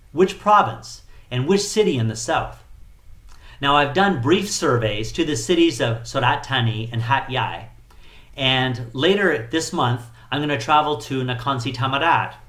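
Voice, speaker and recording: A middle-aged man speaking as a missionary to a congregation, earnest and measured, appealing to listeners with rhetorical questions. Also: mid-range voice, fairly bright tone. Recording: echoey room.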